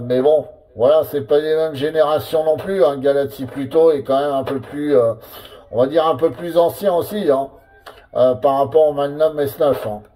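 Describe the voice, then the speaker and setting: A man operating a CB radio, chatting casually with lots of pauses and filler words. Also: a little high, warm tone. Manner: hesitant, full of fillers and tags.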